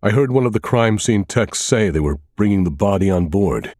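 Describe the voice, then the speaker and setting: A man reading a scripted first-person thriller as an audiobook narrator, with a measured, dramatic delivery. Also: on the low side, lively intonation, a little mellow, dry recording, studio quality.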